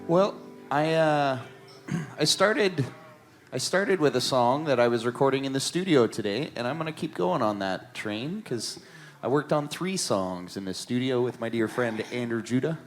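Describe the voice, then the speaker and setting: A man's voice: medium pitch, expressive, normal speed, soft background music. A man from the Ottawa Valley in Canada hosting a songwriters' circle, chatting casually and reflectively between songs in a small live venue. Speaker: host of a songwriters' circle, musician.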